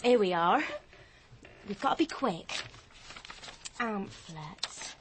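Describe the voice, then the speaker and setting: An actress playing a nervous, gullible English office clerk with a flustered, colloquial manner in a radio drama. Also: female voice, medium pitch, expressive, slow, background noise. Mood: flustered, anxious.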